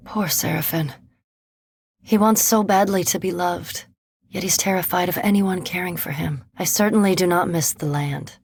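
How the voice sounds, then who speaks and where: A low breathy vampire lady scholar for a fantasy game, cool n formal, super dry close recording. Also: no echo, full character voice. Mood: reflective. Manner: formal, precise, dry, reserved. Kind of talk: fantasy video game character dialogue.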